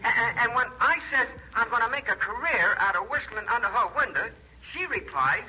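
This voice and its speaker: An actor playing an eager, excitable, slangy young soldier in love, heard over a telephone in 1940s radio comedy. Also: male voice, extremely high pitch, a little fast, slightly rough, exaggerated voice. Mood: elated. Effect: telephone filter. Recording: thin phone line on old radio.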